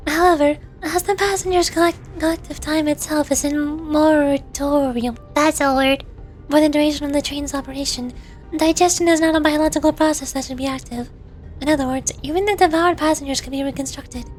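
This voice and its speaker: A woman voicing a cool, formal young researcher who lectures in a measured way, her voice going hoarse. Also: high voice, fairly even intonation, faint music behind, natural voice. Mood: explaining. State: losing her voice.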